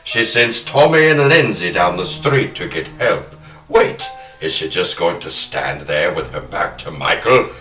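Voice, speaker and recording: A man with a low-pitched, forceful voice hosts a film podcast, narrating with dramatic flair. The room rings around him, and there is some music in the recording. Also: very animated, a little slow. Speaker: podcast host. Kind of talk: scripted narration of a film plot and round-table film talk. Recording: roomy echo, faint music.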